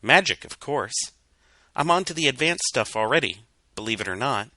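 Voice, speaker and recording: A man acts out an earnest young filly from a storybook, a student of magic. The voice is lifted well above a man's usual talk and bright. It is gentle and sincere in manner, recorded dry. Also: medium pitch, lively intonation, moderate pace, no echo, pitched above the performer's own voice. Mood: earnest, assured. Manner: soft-spoken, earnest.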